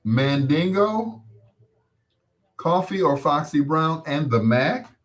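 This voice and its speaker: A man's voice: on the high side, lively intonation, slow. An American man in his sixties hosting a casual live chat, reminiscing in a rambling, conversational way and repeating points for emphasis. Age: 60s. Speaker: host.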